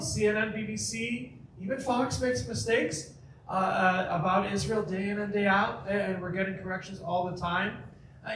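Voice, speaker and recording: A man from Chicago giving a public talk and taking audience questions, lively, with quick asides and restarts. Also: high-pitched, slight background noise. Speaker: guest speaker. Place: community center hall. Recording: echoey hall, mic at a distance.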